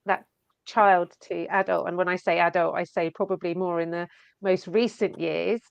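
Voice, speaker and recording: A woman guest on a talk show, speaking earnestly and haltingly, with many fillers. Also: fairly low pitch, fairly even intonation, vocal fry, smooth, no echo. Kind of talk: casual talk show chat.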